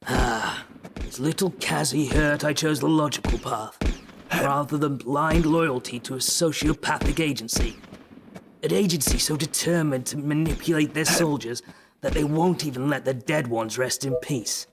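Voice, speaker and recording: A man's voice: a little high, moderate pace, noisy background, natural voice. An actor playing a smug, taunting male traitor who savours his words theatrically in a sci-fi audio drama. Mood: scornful.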